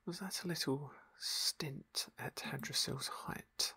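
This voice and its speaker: A man narrating a game playthrough in a soft, wry ASMR whisper. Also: on the high side, slow, vocal fry. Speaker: ASMR gaming host.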